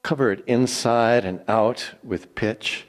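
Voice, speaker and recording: A man's voice: medium pitch, slow, natural voice. A man voicing God in a dramatic Bible reading, giving grand, declamatory commands with solemn authority. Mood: grave, hushed. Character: God, mighty male deity commanding Noah with solemn authority. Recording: long echoing hall reverb.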